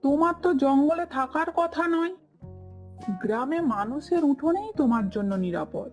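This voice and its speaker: A woman's voice: high-pitched, animated, moderate pace, soft background music. Woman voicing a grumpy, bossy cartoon crow. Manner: complaining, bossy. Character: lazy, cunning, grumpy crow. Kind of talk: cartoon story dialogue.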